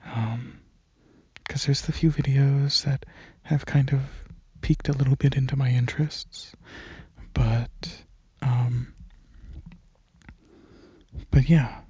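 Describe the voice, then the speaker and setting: A young man giving a soft-spoken, casual ASMR ramble, halting and hesitant, often slipping into breathy whispers. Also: mid-range voice, steady tone, no echo, highs cut off. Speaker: ASMR video creator and channel host.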